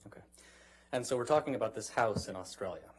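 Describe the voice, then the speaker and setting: A man's voice: lowish voice, fast, noisy background. A man questioning a witness in court as a lawyer, methodical and probing.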